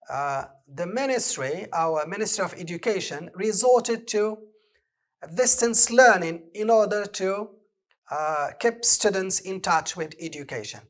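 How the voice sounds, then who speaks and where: A man from Morocco with a Moroccan accent, a native speaker of Moroccan Arabic, teaching an English lesson on television and explaining exercise answers step by step. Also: high-pitched. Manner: slow, deliberate, reads exercise items aloud and explains answers. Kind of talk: televised distance-learning lesson.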